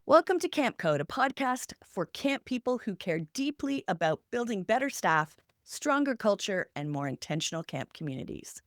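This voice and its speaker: A woman hosting a podcast from prepared notes, speaking emphatically and listing her points deliberately. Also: medium pitch, very animated. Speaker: podcast host.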